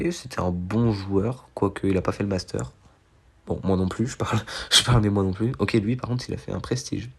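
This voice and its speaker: A young man with a cold gives fast, slangy commentary over a video game, quick to react and to laugh at his own mistakes. His voice sits a little low and sounds husky and stuffed up. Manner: excitable, self-mocking, slangy. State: has a cold, nasal voice. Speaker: gaming YouTuber. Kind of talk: casual gameplay commentary.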